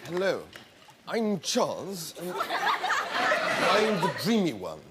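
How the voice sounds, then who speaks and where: Daft oddball prince in a comedy sketch, high swoopy voice, loud crowd behind. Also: male voice, huge pitch swings, drawn-out words, loud music behind the voice, heavily acted. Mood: silly earnest. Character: dreamy, oddball young British royal princes in parody. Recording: noisy live stage with crowd.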